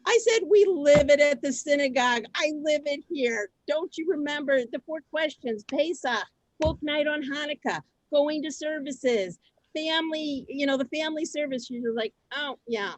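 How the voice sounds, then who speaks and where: A middle-aged woman hosting a group on a video call, chatting informally and telling stories in a conversational, animated way. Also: high voice, moderate pace, no echo, poor audio. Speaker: host of a synagogue group session. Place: video call, close dry sound.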